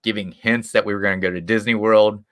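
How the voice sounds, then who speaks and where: A man's voice: lowish voice, steady tone, fast. A man with a Midwestern American accent, a guest on a live stream, telling rambling stories in casual chat with plenty of restarts. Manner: rambling, chatty storytelling with frequent restarts and chuckles. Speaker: guest YouTube creator.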